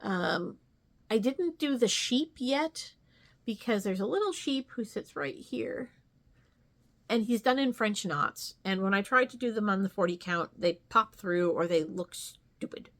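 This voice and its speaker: Slow-paced woman chatting casually. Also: medium pitch, animated, a bit bright. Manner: rambling, thinking aloud, trailing tag questions. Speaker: craft vlogger. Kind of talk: casual chat, showing stitching projects.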